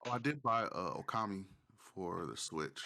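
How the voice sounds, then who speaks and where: A man with a fairly low voice chats informally on a podcast. He speaks in slangy, halting phrases, as if among friends. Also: very animated, vocal fry, pushed and bright. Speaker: podcast co-host. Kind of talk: casual chat.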